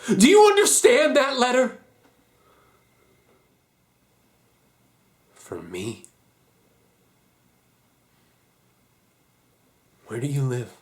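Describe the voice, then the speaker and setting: Stage drama: male actor as young war veteran, higher-pitched, idealistic, guilt-ridden, intense, emphatic, emotional. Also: darkish tone. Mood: outraged, pleading. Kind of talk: dramatic stage monologue audition.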